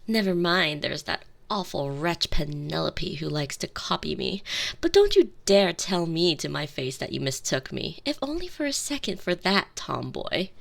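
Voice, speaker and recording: A woman's voice: low voice, very animated, normal speed, creaky, exaggerated voice. A woman playing a sheltered, haughty, lonely young princess in an intimate, theatrical ASMR roleplay on a close binaural mic, sometimes dropping into whispers. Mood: haughty, catty. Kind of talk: ASMR roleplay, scripted monologue. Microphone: close binaural microphone.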